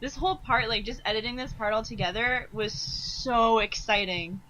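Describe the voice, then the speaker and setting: An excitable woman chatting casually on a group watch-along commentary track. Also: fairly high pitch, moderate pace, poor audio. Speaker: fandub cast member and editor on a commentary track.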